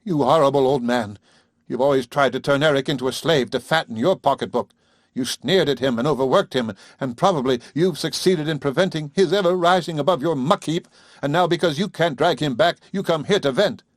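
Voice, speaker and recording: A man's voice: mid-range voice, expressive, normal speed, dry recording, clean studio sound, voice pushed up, natural voice. A man voicing a proud young wife, defiant yet shaken, speaking in a tense, clipped way.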